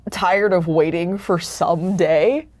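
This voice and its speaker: A woman talking casually in an interview as a project's creator, expressive and peppering her speech with "like". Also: on the low side, slow pace. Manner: animated retelling with acted-out quotes, frequent "like". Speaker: creator of an audio drama.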